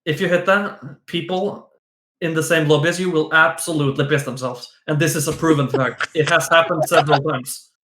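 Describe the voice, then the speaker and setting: A man's voice: on the high side, steady tone, normal speed, sharp tone. Giggly man in a roomy echo. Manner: playful, giggly, rambling with restarts. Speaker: guest, esports player. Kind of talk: casual chat on a stream.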